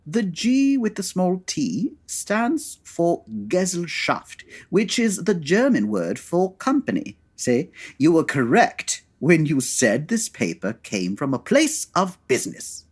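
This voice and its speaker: A woman playing a pedantic male Victorian detective in a comedy audio drama, with a British accent and formal, exacting, husky delivery. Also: on the low side, big pitch swings, takes it slowly, few pauses, heavily acted. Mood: instructive.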